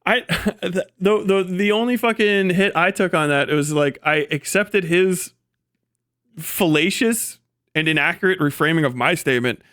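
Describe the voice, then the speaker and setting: A man with a fairly high, very clear and smooth voice talks like a livestream host. He gives casual political commentary, rambling and swearing freely in an animated way. The sound is dry and close. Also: normal speed, dry recording.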